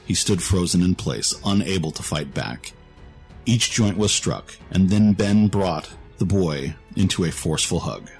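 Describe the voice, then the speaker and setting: Very deep-voiced male fantasy story narrator. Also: expressive, measured pace, darkish tone, soft background music, poor audio. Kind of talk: scripted dramatic fantasy narration.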